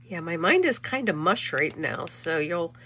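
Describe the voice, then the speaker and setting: A woman's voice: on the low side, sing-song, unhurried, sharp tone, breathy edge. A middle-aged woman hosting a casual live card-making demo, chatty and rambling, stuffy from a cold. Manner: chatty, rambling, self-correcting. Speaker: card-making channel host.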